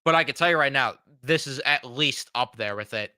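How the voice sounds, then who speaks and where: Young male fan-show host with a cold: very bright, crisp voice, blunt and profane. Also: mid-range voice, brisk, no echo, studio quality. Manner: blunt, frustrated, swears freely. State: fighting a cold. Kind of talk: casual reaction commentary.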